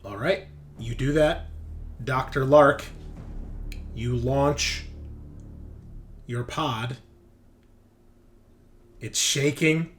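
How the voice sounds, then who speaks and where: A man's voice: on the high side, fairly bright tone, slight background noise. A man acting as game master, narrating casually and reading out rules with informal, dramatic emphasis. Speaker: game master of a tabletop roleplaying game.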